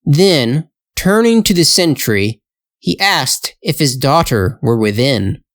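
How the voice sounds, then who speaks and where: A male storyteller reads a fairytale very slowly for learners. His phrasing is careful and measured, his pitch sits in the middle, and the sound is dry and close. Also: big pitch swings, no echo. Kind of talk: slow scripted fairytale narration for English learners.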